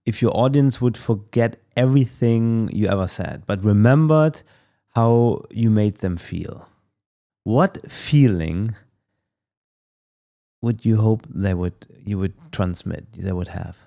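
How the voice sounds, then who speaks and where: Low-voiced man hosting an interview. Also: slow, gravelly, mellow. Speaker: podcast host, interviewer. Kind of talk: interview questions.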